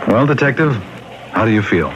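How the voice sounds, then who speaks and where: A man's voice: lowish voice, lively intonation, brisk, lightly acted. A man playing a shrewd, unruffled police superior in a 1970s radio drama, with a matter-of-fact manner. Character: pragmatic male police boss, shrewd and unruffled. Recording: dull, noisy 1970s radio broadcast.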